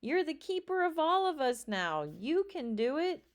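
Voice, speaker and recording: A woman voicing a melodramatic storybook father who exclaims in a grand, drawn-out way. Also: high-pitched, sing-song, voice pushed up. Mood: pleading. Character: melodramatic father spouting old-fashioned theatrical insults.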